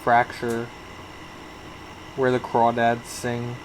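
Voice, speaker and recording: A man with a mid-range voice talks through cast lists in relaxed podcast chat. He hesitates now and then. A steady hum runs clearly underneath. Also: medium pitch, extremely slow. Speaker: podcast co-host. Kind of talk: casual movie review chat.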